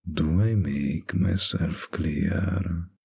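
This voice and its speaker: A man acts out a smooth-talking mafia boss in an ASMR roleplay. His voice is deep and very raspy, with gravelly fry at the edges. He teases and threatens in the same unhurried, dominant, flirty drawl. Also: steady tone, a little mellow, full character voice. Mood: quietly threatening.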